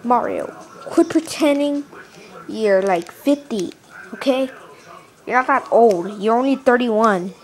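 A woman voicing a pushy, childish, excitable video game character in an improvised skit, with a whiny, slangy delivery. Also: fairly high pitch, slow. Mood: teasing, dismissive.

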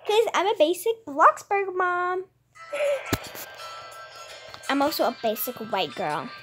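A woman's voice: sits high, wildly swooping pitch, takes it slowly, soft background music. A woman hosting a casual gaming video, chatting as she plays. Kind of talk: casual gameplay chat. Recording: noisy home recording with clicks.

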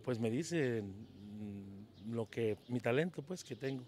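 A man's voice: medium pitch, lively intonation, slow, darkish tone, slight background noise. A Mexican man in his fifties, a local singer answering questions in a casual street interview in a town plaza, humble and hesitant, often repeating words, speaking into a handheld mic. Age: 50s. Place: town plaza outdoors at dawn. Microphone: handheld mic held by interviewer.

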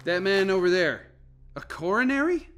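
A man's voice: high voice, big pitch swings, moderate pace, a bit bright, slight background noise. A man playing a dry, cautious scientist in charge, in a radio drama. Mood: tense, probing.

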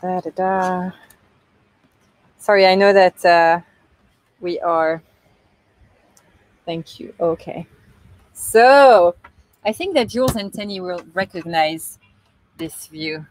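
A woman's voice: on the low side, takes it slowly, long pauses, dry recording, rough recording. Lady co-hosting a live stream on a boat in a marina, chatty, lots of restarts, webcam sound. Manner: chatty, hesitant, many restarts and fillers. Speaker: sailing channel co-host. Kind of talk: casual live stream chat. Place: boat cockpit in a marina, outdoors.

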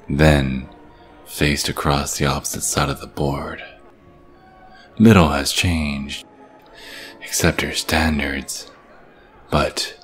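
An actor playing an ancient, menacing and seductive vampire king who taunts slowly in an ASMR roleplay. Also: a bass voice, a bit bright, exaggerated voice. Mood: brooding, intrigued. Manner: slow, taunting, purring menace.

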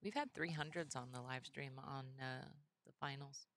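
Woman play-by-play caller for bowling, deep and gravelly, dry teasing delivery. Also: normal speed. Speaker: commentator. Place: commentary booth at a bowling center.

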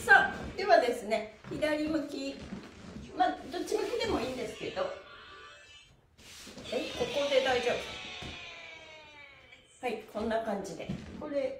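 A woman's voice: high-pitched, far from the mic. A middle-aged woman leading an exercise lesson, cheerful and playful, calling out instructions and rhythmic chants. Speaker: stretching instructor and host. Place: small indoor room with bare walls. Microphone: camera mic from a few steps away. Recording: echoey room, away from the mic.

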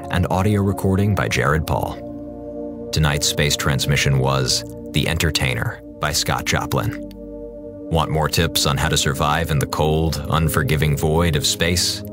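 A man reading scripted credits as the announcer of a radio drama. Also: a bass voice. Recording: music behind voice.

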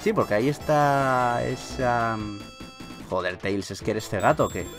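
A man's voice: medium pitch, fairly even intonation, a little slow. A man with a Peninsular Spanish accent doing casual, joking, mildly sweary Let's Play commentary as a gaming video host. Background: video game music.

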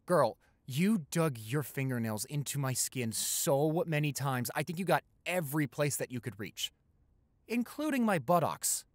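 A man's voice: fairly high pitch, big pitch swings, measured pace, no echo. Tender young boyfriend, acted by a man, dry close sound. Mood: playful, teasing. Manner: tender, chatty, stammering when flustered. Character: affectionate, flustered young college-age boyfriend. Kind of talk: improvised intimate boyfriend roleplay audio.

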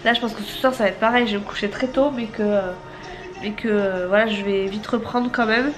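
A woman's voice: mid-range voice, fairly even intonation, a little fast, music in the background. A woman in her thirties with a stuffy nose from a cold, chatting to a handheld camera at home in a rambling way. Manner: chatty, rambling, many restarts and fillers. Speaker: family vlogger. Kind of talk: casual vlog chat to camera. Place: apartment, indoors. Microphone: handheld camera at arm's length.

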